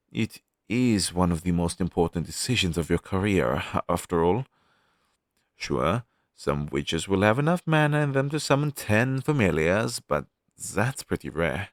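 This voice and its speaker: Deep-voiced friendly demon crow familiar, acted by a man. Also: no echo, studio quality. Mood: curious, friendly. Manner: conversational, explanatory, reassuring, with thoughtful hums. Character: friendly male demon crow familiar advising a young witch. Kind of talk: fantasy audio roleplay spoken to the listener.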